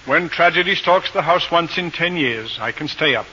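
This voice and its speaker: Pompous, bright-voiced grumbling father. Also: a little high, lively intonation, moderate pace. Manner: pompous, dryly sardonic, wordy. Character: pompous, grumbling middle-aged father of the household. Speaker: radio drama actor. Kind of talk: 1940s radio acting. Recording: dull, faintly noisy old radio recording.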